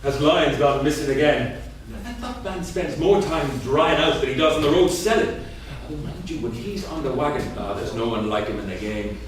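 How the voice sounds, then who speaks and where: A man performing a volatile businessman and husband in a theatre reading. His voice is fairly high and very animated, with emphatic, suspicious force. It comes from far off in a very reverberant room. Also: normal speed, lightly acted. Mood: pleased, brisk. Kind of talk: staged reading, theatrical dialogue. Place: indoor theatre at a staged reading. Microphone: distant room microphone. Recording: distant, very echoey theatre room, faint noise.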